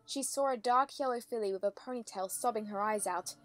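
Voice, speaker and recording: A woman's voice: fairly high pitch, brisk. A woman reading scripted story narration as the narrator of a dramatic reading. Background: faint music.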